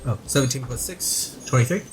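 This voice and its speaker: A man's voice: medium pitch, animated, a little fast, background noise. A man playing a tabletop game, chatting casually as he calls his moves. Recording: clear background music. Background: music.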